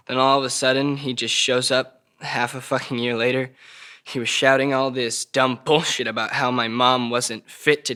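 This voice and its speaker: A defiant teenage boy in trouble with the law played by a man, sarcastic and mouthy, swearing freely in slang. His voice is bright and forceful at a middling pitch, in a crime drama read. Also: natural voice.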